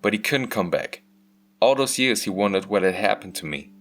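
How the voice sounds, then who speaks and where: A man reading a scripted first-person story as a motion comic narrator, measured and earnest. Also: on the low side, expressive, fairly bright tone.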